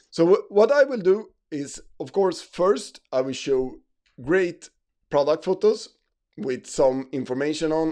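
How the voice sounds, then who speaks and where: Male host, slightly higher pitch, halting walkthrough. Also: warm tone. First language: not English. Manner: halting, many fillers and restarts. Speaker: ecommerce channel host. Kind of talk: screen-share tutorial.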